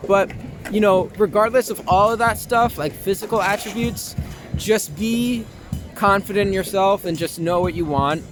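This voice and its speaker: A young American man talking casually and candidly as an interview guest, halting and informal, leaning on "like" and the odd swear word. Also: sits high, music in the background. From Long Island, New York, US. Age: young adult.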